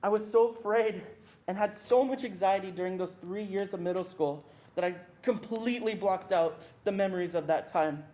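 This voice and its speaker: A man's voice: sits high, moderate pace, mellow, natural voice. A young man playing an anxious, self-doubting boy looking back on school in a reader's theatre performance. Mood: anxious, confessional. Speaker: student performer. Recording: echoey, distant mic, faint noise.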